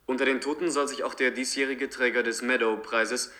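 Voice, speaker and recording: An actor as a formal male newsreader in a science-fiction radio drama. His voice is bright and forceful at a middle pitch. He delivers urgent scripted news. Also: steady tone, a little fast, close to an everyday voice.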